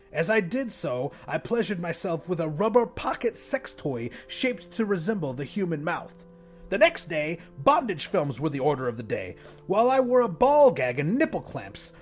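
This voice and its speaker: A man narrating an audiobook as a pompous, depraved widowed English professor in his sixties, with an ornate, theatrical delivery. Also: sits high. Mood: grandiose. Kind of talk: dramatic first-person audiobook narration.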